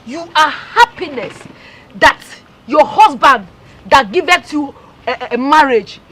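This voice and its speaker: A woman's voice: sits high, expressive, measured pace, a bit bright, a little hiss or hum. A woman playing a Nigerian accuser. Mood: accusing. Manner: mixes Pidgin and Igbo, accusing. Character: accusing woman confronting the wife.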